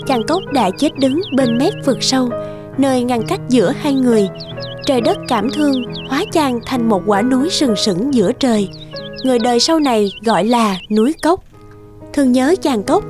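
A woman narrator reading a scripted folk tale as a storyteller. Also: high-pitched.

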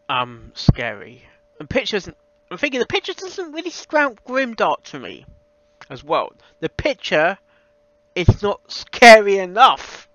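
High-pitched, halting young man. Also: sharp tone, no echo. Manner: halting, repeats himself. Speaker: reaction video host reviewing a fan audio reading. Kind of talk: casual spoken critique. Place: small room at a computer, indoors. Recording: dull, slightly lo-fi close recording.

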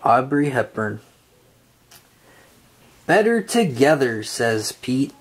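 A storybook reader reading a children's picture book aloud in an expressive, sing-song way with drawn-out phrases. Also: medium pitch, breathy edge.

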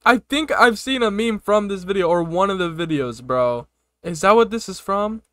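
A man's voice: high-pitched, animated, normal speed, fairly bright tone, no echo. A young man giving casual reaction commentary as a video host, close on a desktop microphone. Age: young adult. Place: small room, desk setup.

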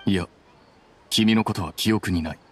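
A man playing a cool, aloof young scholar-official in an anime game, speaking in a measured, condescending deadpan. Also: sits low. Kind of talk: Japanese anime game voice acting. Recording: music behind the voice.

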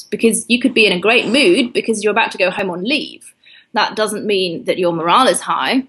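Articulate woman historian, slightly husky. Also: medium pitch, a bit bright. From United Kingdom. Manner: articulate, measured explaining. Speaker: historian guest. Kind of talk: interview answers.

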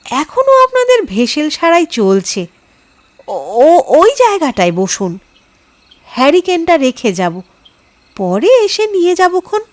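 Eerie, courteous tantric priest played by a woman, soft and slow, for a ghost story. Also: high voice, wildly swooping pitch, pitched above the performer's own voice, heavily acted. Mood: polite, eerie. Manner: soft-spoken, deferential, unhurried. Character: thin, tall male tantric temple priest, courteous and eerie. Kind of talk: audio horror story dialogue.

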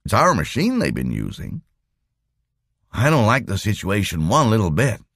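A man voicing an excitable, worried male computer engineer in an audiobook, talking urgently and conversationally. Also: on the low side, normal speed, creaky, dry recording, clean studio sound, pitched above the performer's own voice, full character voice.

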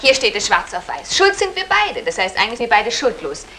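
An actress playing a headstrong, disappointed young wife in an old German radio comedy, with pointed, emphatic delivery. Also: female voice, on the high side, sing-song, a little fast, sharp tone, slight background noise. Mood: self-assured.

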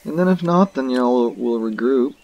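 A young man giving relaxed, casual commentary to viewers while he plays a fishing game. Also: medium pitch, fairly quick, dull audio. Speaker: gaming video host. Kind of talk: casual let's-play commentary.

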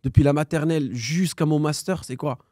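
A man in his thirties with a slightly high-pitched voice tells a long personal story to a podcast host. He animates it by acting out the dialogue and dropping in Arabic phrases. The sound is close and dry, recorded on a podcast microphone. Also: a little fast, warm tone, no room sound. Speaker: podcast guest. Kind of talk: personal storytelling in casual conversation.

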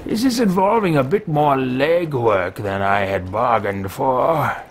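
A man voicing an arrogant wizard mercenary who talks to himself, in a pompous, theatrical, drawn-out manner while playing a game. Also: medium pitch, lively intonation, a little hiss or hum, lightly acted. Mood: musing. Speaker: let's play roleplayer. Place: small room with bookshelves, indoors. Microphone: headset mic at a desk webcam.

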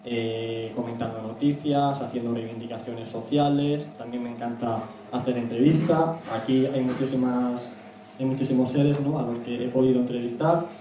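Mellow Spanish speaker, echoey room. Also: male voice, medium pitch. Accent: Spanish from Spain. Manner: conversational, frequent "¿no?" tags. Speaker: guest speaker, YouTube analyst. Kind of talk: semi-prepared public talk. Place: small indoor meeting room. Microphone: handheld mic. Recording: echoey room, distant mic, faint noise.

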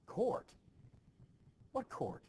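A man playing a gruff, weary Puritan farmer husband in a play reading, terse and plain-spoken. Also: extremely slow, mellow. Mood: stern. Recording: noticeably hissy home screen recording.

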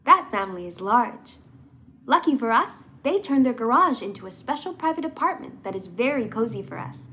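A woman plays a sweet little immigrant girl in a children's storybook. The voice is high and melodic, the telling simple and earnest. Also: very animated, moderate pace, sharp tone, slight background noise. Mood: earnest, friendly. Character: sweet young immigrant girl with her doll.

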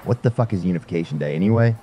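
A man's voice: low-pitched, racing, highs cut off, slight background noise, close to an everyday voice. A man playing a blunt, grumbling, irritable space traveler in casual audio drama dialogue. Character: grumbling, foul-mouthed male space traveler.

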